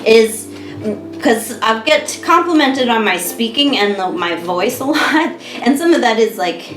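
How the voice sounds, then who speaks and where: An American woman in her thirties co-hosting a podcast, chatting casually with clear, careful diction and frequent laughter. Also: fairly high pitch, expressive, unhurried. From United States. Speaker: podcast co-host. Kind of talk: casual chat. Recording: echoey room with music behind.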